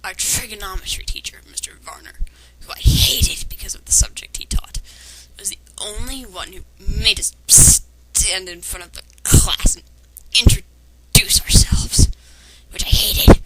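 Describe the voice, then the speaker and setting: A woman's voice: mid-range voice, measured pace, a bit bright, whispered, low-quality recording. A woman reading fan fiction aloud with sarcastic, critical commentary. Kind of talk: dramatic reading with mocking commentary.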